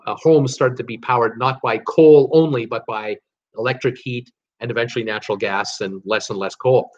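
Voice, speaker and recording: A man, telling stories casually on a livestream in a rambling, hesitant way, repeating words as he thinks aloud. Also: mid-range voice. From Canada. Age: 62, early sixties. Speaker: host of a cruise travel livestream. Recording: dull, lo-fi livestream audio.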